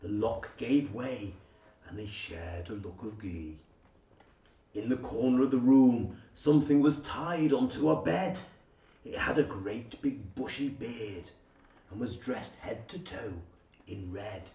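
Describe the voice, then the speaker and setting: A man reading a rhyming storybook as a theatrical, expressive storyteller. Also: medium pitch, slow pace. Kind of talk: scripted rhyming storybook narration. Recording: roomy, distant from the microphone.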